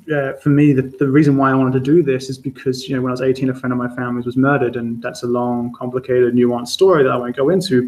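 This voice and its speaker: An Australian man in his thirties talks to a live-stream audience about his freelance work, going back over his words with plenty of ums. His voice sits in a middle pitch and stays level, with little rise and fall. He sounds candid and unpolished. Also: poor audio. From Australia, Adelaide. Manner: hesitant, many fillers and restarts, candid. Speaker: freelance journalist giving a talk. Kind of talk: informal talk to an online audience. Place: home or studio for a live stream. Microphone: streaming setup.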